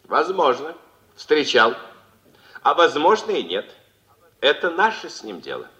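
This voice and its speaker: High-voiced defiant war veteran. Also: male voice, huge pitch swings, bright, forceful tone, husky, dull audio, heavily acted. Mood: bold, guarded. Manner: measured, firm, oratorical. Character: defiant 37-year-old American war veteran, principled communist suspect. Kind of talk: 1960s Soviet radio drama acting.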